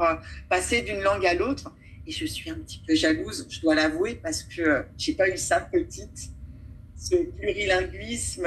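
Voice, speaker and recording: A woman from France, an actress and children's storyteller, talking reflectively as a radio guest and pausing often to find her words. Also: medium pitch, slight background noise, poor audio. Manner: thoughtful, pauses often with hesitations. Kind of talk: radio interview, reflective talk.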